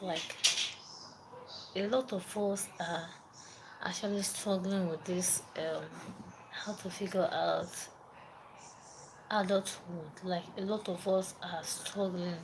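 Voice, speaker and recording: A woman's voice: medium pitch, fairly even intonation, slow, noisy background. A young woman from Nigeria with a Nigerian accent, chatting casually on a live stream, repeating herself and greeting viewers by name. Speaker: livestreamer.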